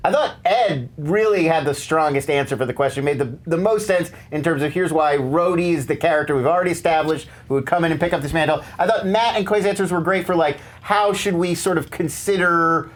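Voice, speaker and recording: A man judging a debate in casual commentary, measured and diplomatic in how he weighs each side. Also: a little high. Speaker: judge on debate show.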